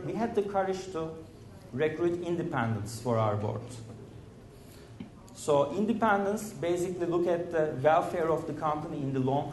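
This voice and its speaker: A man speaking as a startup founder on a conference panel, earnest and measured, often filling pauses with "you know" and "uh". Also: fairly high pitch, a little mellow, slight background noise. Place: conference stage in a large hall. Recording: echoey conference hall.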